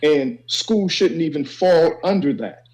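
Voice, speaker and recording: A man's voice: fairly high pitch, animated, measured pace, a little mellow. A man speaking as a guest advisor, explaining things informally and instructively, often checking that listeners follow. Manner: instructive, checks understanding with "okay" and "you know". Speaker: college financial aid advisor, guest. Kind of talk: informal explanatory panel talk.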